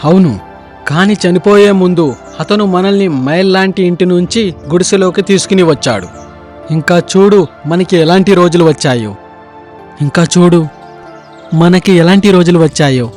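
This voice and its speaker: Man as a pleading, high-voiced poor farmer. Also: moderate pace, a little mellow, faint music behind, close to an everyday voice. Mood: sorrowful. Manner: pleading, aggrieved. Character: wronged, humble poor farmer.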